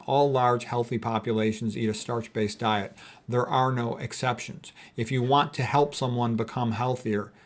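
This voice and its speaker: A man with a mid-range voice presents health material informally. He alternates between reading quotations aloud and adding his own folksy commentary. His delivery is emphatic, and he repeats key statements to drive them home. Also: mid-range voice. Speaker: health educator presenting book quotes.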